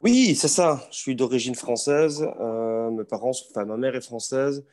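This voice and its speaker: A football journalist from Belgium with a Belgian French accent, chatting casually on a video call, relaxed and rambling with restarts. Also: male voice, mid-range voice, normal speed.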